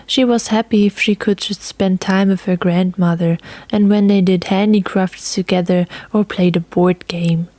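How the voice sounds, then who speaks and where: Slow-paced woman narrating a story. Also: medium pitch, mellow, no room sound.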